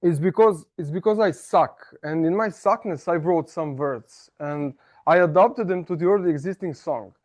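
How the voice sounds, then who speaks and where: Self-mocking Serbian man, playful and halting. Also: on the high side, a little mellow. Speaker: club speaker. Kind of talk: humorous prepared speech. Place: meeting room.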